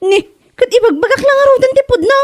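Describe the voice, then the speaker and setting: A woman's voice: very high voice, animated, quick pace. A woman playing a nosy, teasing neighbour in a comedy audio drama, chatty and full of gossip. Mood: gossipy. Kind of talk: Ilocano comedy radio drama acting.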